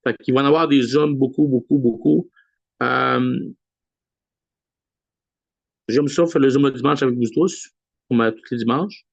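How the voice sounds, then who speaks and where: Gravelly Québécois man hosting a video call. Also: medium pitch, no echo. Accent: Québécois French. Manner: hesitant, many pauses and "euh" fillers. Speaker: host of a weekly video call. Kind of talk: casual live address on a video call. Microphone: computer mic on a video call. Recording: dull lo-fi video call audio.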